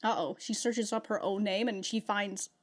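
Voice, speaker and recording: A woman's voice: mid-range voice, fairly even intonation, moderate pace. A young woman hosting an anime reaction video from a small room at home, chatty, laughing often and swearing in outbursts. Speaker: anime reaction channel host. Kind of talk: casual reaction commentary.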